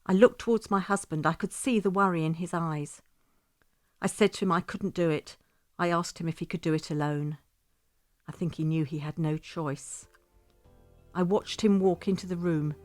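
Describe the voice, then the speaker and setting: A woman's voice: sits low, steady tone, normal speed, darkish tone, dry recording, faint music behind, natural voice. A woman playing a grieving, bitter widowed mother, telling her story in a first-person audio drama monologue. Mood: anguished.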